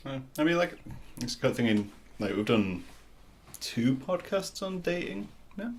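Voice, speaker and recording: Man, podcast host, soft soothing voice, very lively pitch, laughs a lot, faint background noise. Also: measured pace. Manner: easygoing, laughs often. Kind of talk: casual chat.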